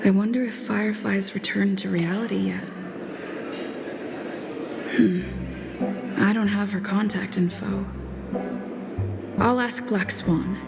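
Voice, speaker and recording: Loud music runs behind a pensive young female traveller in a video game. Her medium-pitched voice is creaky, with an understated, musing delivery. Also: steady tone, takes it slowly, long pauses. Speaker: game character voice actor.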